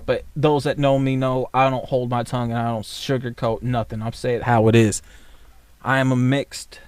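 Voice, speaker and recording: Blunt cursing guy ranting into the camera, average pitch, dead dry sound, lots of "you know" and "okay". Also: little pitch movement, normal speed, a little mellow, clean tone, no echo. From United States. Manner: blunt, profane and rambling, with many fillers. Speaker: vlogger. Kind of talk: casual ranting monologue.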